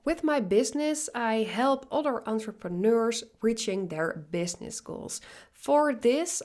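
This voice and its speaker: A woman's voice: medium pitch, a little mellow. Dutch-accented woman, earnest podcast host. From Netherlands. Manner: earnest, determined self-introduction. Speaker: business coach, podcast host. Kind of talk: solo podcast monologue.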